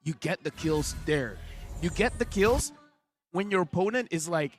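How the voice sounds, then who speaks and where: A man's voice: on the high side, lively intonation, normal speed, soft background music. A man from Malaysia giving chatty, slangy analysis as an esports color commentator. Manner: chatty, uses slang like legit and dude.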